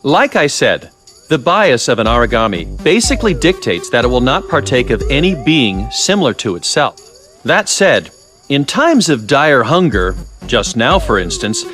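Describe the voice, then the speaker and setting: Man voicing game scientist, middle-aged, genial but sly, professorial, very animated intonation, faint music. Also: mid-range voice, lightly acted. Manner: professorial, smooth, theatrical. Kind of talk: video game cutscene acting, expository dialogue.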